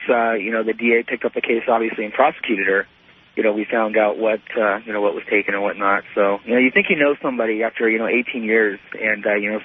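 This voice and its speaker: A man in his thirties calling a radio show, telling a personal story in a halting, repetitive way full of "you know". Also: medium pitch, breathy edge. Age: 30s. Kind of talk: unscripted personal account on a call-in show. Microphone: telephone. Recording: muffled lo-fi phone call to radio.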